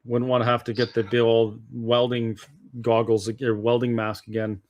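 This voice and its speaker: A man's voice: medium pitch, flat delivery, moderate pace, muffled recording. A man speaking as an interview guest, explaining his views casually and earnestly at some length. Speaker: interview guest, political activist.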